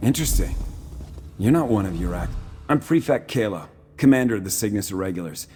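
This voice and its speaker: An actor playing a proud, shrewd Imperial military commander in a video game, speaking formally and with dry self-assurance. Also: male voice, lowish voice, lively intonation, a little fast, dark tone, lightly acted. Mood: smug, dry. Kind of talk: video game character dialogue. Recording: music playing behind the voice.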